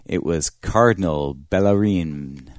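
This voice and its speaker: A man co-hosting a talk show, delivering a history segment in a lively, playful way. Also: low-pitched, takes it slowly, dry recording, clean studio sound. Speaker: podcast co-host. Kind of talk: casual chat.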